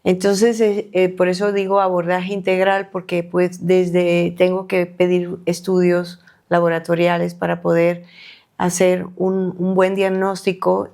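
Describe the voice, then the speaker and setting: A middle-aged woman from Colombia with a low, gravelly voice, speaking as a guest doctor on a podcast. She talks haltingly, circling back with fillers and restarts. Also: darkish tone. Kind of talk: podcast interview.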